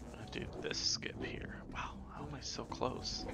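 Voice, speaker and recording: A man's voice: normal speed, whispered. A man giving casual live commentary as he speedruns a video game, often muttering asides to himself. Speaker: speedrunner streamer. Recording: loud game music behind voice. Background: loud video game music.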